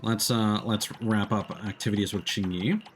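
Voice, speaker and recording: A man with a fairly low voice streams a video game, talking casually as he thinks aloud. His delivery is hesitant and rambling, with a self-deprecating streak, and faint music plays behind him. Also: steady tone, normal speed. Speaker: livestreamer playing a video game.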